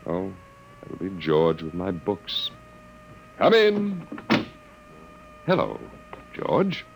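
A man's voice: deep voice, wildly swooping pitch, very slow, gravelly, full character voice. An actor playing a wry, resigned male academic in an old radio drama, lecturing with hesitant repetitions. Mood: dry, bantering. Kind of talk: classic radio drama acting. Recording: noisy old radio recording.

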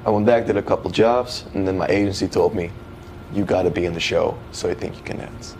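Deep, gravelly man telling stories casually. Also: fairly quick, slight background noise. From Netherlands. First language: Dutch. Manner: animated slangy retelling with quoted dialogue and swearing. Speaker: guest, professional dancer. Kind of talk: casual conversational storytelling.

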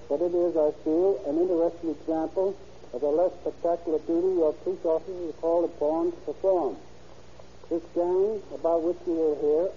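A male police official reads a formal address stiffly on 1930s radio. His voice is fairly high yet very dark. The broadcast is muffled, with a faint hum. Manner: stiff, formal, reading aloud. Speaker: police chief giving an address. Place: radio studio.

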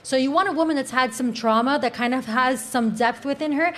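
A woman with a Cuban accent and a fairly high voice speaks as a podcast panelist. She argues earnestly and draws on her own life to make her points. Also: a little fast. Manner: earnest, persuasive, speaks from personal experience. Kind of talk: casual panel discussion.

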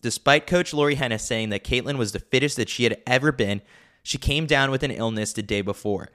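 A man's voice: mid-range voice, fairly quick, a bit bright. A man narrating a scripted sports newsletter as a podcast host, with a wry, opinionated way of talking.

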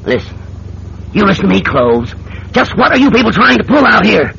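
A determined, confrontational senator in a radio play. His voice is high for a man, with a gravelly creak. The old broadcast sound is muffled, with faint noise. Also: very animated, a little fast, sharp tone, heavily acted. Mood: indignant, demanding. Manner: demanding, curt, confrontational. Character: powerful, determined, combative United States senator. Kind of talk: radio drama acting.

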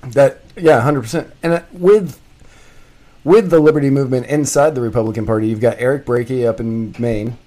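A man co-hosting a talk radio show, speaking at an ordinary pitch in a relaxed, conversational way. He tells stories with plenty of "like" and "um" and keeps a loose, chatty rhythm. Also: fairly quick. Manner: casual storyteller, frequent fillers like "like" and "um". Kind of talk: casual political talk radio.

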